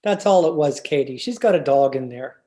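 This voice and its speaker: A man's voice: fairly high pitch, lively intonation, a little fast, mellow, lightly acted. A man playing an earnest, worried husband, hesitant and exasperated, in old-time radio comedy style. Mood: suspicious.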